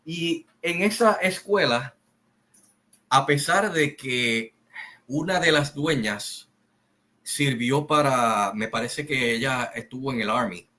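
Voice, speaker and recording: A middle-aged man from Rico, giving a slow, opinionated monologue full of pauses and fillers. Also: mid-range voice, sharp tone. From Puerto Rico. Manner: slow, deliberate, repetitive, with many pauses and fillers. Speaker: commentary channel host.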